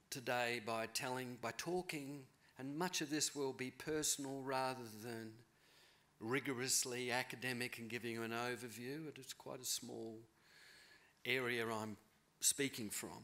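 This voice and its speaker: A middle-aged man giving a keynote lecture from a podium in a large auditorium, thoughtful and measured, often rephrasing himself. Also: medium pitch, a bit bright. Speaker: keynote speaker, novelist. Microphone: podium mic.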